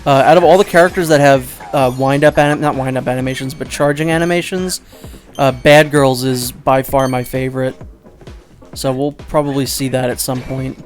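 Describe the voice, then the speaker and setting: A man giving casual, rambling let's play commentary, second-guessing himself out loud and swearing offhandedly. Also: medium pitch, steady tone, normal speed, faint music behind.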